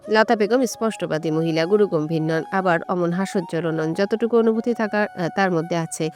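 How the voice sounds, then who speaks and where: A woman's voice: fairly low pitch, fairly quick, darkish tone. Woman narrating a story over music. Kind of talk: scripted dramatic storytelling narration. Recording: music bed under the voice. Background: background music.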